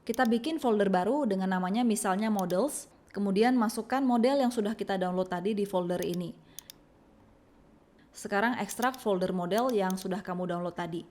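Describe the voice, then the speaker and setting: A woman presents a software tutorial as scripted narration. Her voice sits at an average pitch for a woman, and she explains things step by step in an instructional way. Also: moderate pace.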